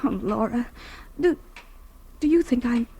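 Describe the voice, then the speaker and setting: A woman's voice: on the high side, measured pace, mellow, husky. An actress playing an anxious, hesitant young woman who fears for her sanity, in the style of 1940s radio drama. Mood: fearful. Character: frightened, high-strung young woman of twenty-three fearing madness. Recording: old radio recording with music.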